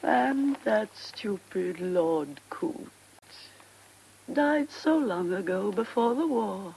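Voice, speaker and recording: Elderly posh english lady, ex stage star, creaky husky voice, affectionate and theatrical, radio play. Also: medium pitch, expressive, slow pace, darkish tone, heavily acted, poor audio. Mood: wistful nostalgia. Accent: upper-class English. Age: 60s or older. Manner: theatrical, gracious. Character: elderly genteel widowed former stage star, affectionate and whimsical. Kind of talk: British radio drama acting.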